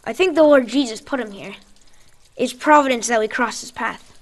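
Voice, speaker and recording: A woman's voice: a little high, moderate pace, long reverb tail, close to an everyday voice. An actress playing an earnest, devout boy in a radio drama, plain-spoken, urgent and determined. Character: earnest, devout boy living rough underground. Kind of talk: post-apocalyptic radio drama acting.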